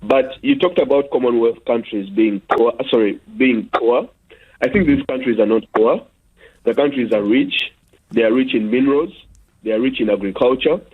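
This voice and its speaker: A Ugandan-born man calling a radio phone-in, heard through a tinny telephone line. His voice is husky and mid-pitched, and he argues earnestly, padding his points with fillers. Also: moderate pace. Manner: earnest, argumentative, many fillers. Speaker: caller. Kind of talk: phone-in call.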